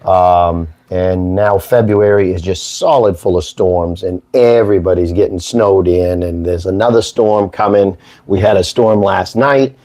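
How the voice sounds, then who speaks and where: A hoarse man hosts a casual morning show, rambling and joking in a folksy way. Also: deep voice, unhurried, hardly any pauses, mellow. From Maine, United States. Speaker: host.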